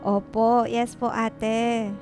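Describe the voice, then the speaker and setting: A woman's voice: fairly high pitch, little pitch movement, extremely slow, music in the background. A woman voicing a playful, sweet schoolgirl younger sister in a radio drama, cute and respectful to her elders. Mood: obedient, cheerful.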